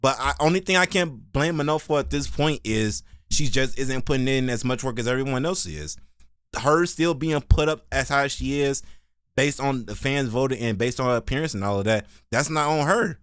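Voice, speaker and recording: A man's voice: mid-range voice, moderate pace, a bit bright, clean tone, dry recording, studio quality. An American man giving casual reaction commentary in a slangy, opinionated, rambling way. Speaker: reaction video host.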